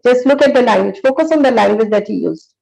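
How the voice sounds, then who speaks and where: A woman's voice: a little high, creaky, dark tone, low-quality recording. Earnest Indian woman in her sixties. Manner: earnest, storytelling, frequent pauses and uh fillers. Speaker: psychologist and motivational speaker. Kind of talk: motivational talk.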